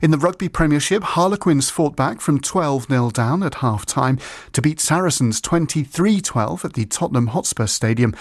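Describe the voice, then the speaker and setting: A man's voice: fairly high pitch, expressive, normal speed, no room sound. Man reading sports news, dry studio sound. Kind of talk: scripted radio sports bulletin.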